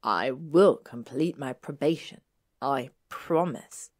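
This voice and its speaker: A woman's voice: low-pitched, expressive, moderate pace. A woman playing an aloof, proud teenage boy wizard in a story, speaking in a curt, clipped way. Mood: strained, resigned.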